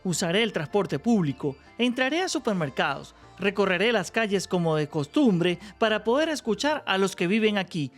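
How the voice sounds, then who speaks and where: A man's voice: sits high, sing-song, moderate pace, few pauses, soft background music. A man from Venezuela narrating a travel vlog and chatting with people on his home street. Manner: friendly, chatting with locals. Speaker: vlogger and interviewer. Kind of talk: scripted narration and street chat. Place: outdoors in a neighborhood street.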